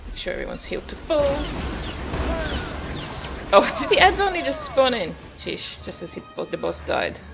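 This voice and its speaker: A woman giving casual, low-key commentary on her own gameplay, musing drily as she goes. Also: very animated, moderate pace, gravelly, long pauses. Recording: loud game music behind the voice. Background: game music.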